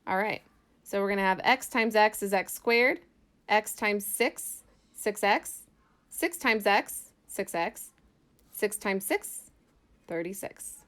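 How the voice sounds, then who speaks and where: A woman's voice: mid-range voice, expressive, slow, pauses often, fairly bright tone. A woman math teacher giving an informal lesson, thinking aloud as she explains worked examples step by step in a casual way.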